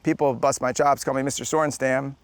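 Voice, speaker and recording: A man in his forties speaking slowly and plainly in a casual interview, with halting restarts. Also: mid-range voice, fairly even intonation, no echo. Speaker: interviewee, husband.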